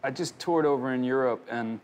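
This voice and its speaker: A man's voice: mid-range voice, brisk, darkish tone, slight background noise. A man who hosts a casual dinner conversation as a fellow musician, easygoing and agreeable. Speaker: host, touring musician. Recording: music behind the voice.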